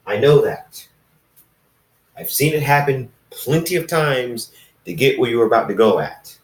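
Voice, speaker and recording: A man's voice: mid-range voice, expressive, unhurried, lightly acted. A man voicing a blunt, probing, ancient immortal companion in a story, emphatic and repetitive. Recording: roomy echo, distant microphone.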